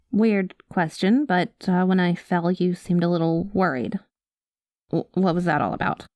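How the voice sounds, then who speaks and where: A woman playing a cynical, guarded space smuggler with a soft spot, talking to the listener in a wry, world-weary way in an ASMR roleplay. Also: on the low side, no room sound, studio quality. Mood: hesitant, curious.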